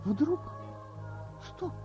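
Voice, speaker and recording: A narrator reading a literary tale for a radio play in an expressive, dramatic way with deliberate pauses. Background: music.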